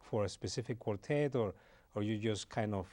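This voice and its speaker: A man with a somewhat low voice hosts a TV interview. He laughs a lot and stops and restarts his questions as he goes. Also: moderate pace, dark tone. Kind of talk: casual interview.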